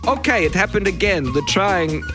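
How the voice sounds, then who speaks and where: Gossipy, theatrical lady friend, played by a man, raised pitch, wobbly Eastern European accent, music loud underneath. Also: expressive, moderate pace. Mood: weary, dramatic. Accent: put-on, wandering Eastern European. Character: melodramatic, gossipy woman friend with wandering foreign accent. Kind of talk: hammy amateur scene reading.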